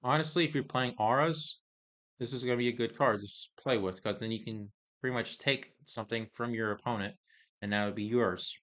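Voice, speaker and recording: Bright-voiced man, going hoarse. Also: mid-range voice. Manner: halting, hesitant, many pauses and restarts. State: voice getting hoarse. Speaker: card game reviewer. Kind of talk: casual card review, reading card text aloud.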